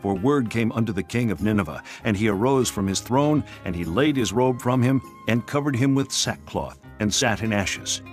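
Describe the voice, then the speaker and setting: A man's voice: lowish voice, lively intonation, normal speed, hardly any pauses. Solemn male scripture narrator over music.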